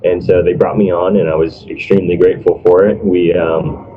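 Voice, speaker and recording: Young male, very low voice, hesitant and colloquial casual storyteller, muffled call with faint noise. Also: flat delivery, a little fast. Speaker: esports team manager. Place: online group call. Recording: dull, noisy low-quality voice call.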